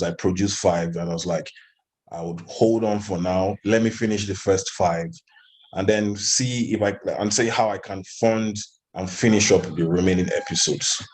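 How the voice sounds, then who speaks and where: A man's voice: low-pitched, darkish tone. A man from Nigeria giving conversational interview answers as an animation studio founder, in hesitant phrasing with repeated restarts.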